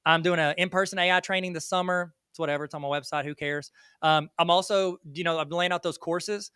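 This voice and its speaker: Joking Southern man with a bright voice. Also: a little high, fairly even intonation, a little fast, smooth, dry recording, studio quality. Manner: joking, rambling, self-deprecating. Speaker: guest educator. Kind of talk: casual interview chat.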